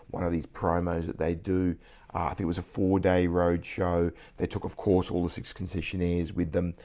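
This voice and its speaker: A croaky, deep-voiced man in middle age hosts his vlog from indoors late at night. His tone is dark and mellow, and he keeps it hushed. He still brightens with excitement when a big number comes up. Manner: enthusiastic, excitable about numbers. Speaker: CEO and vlog host. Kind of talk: casual news commentary vlog.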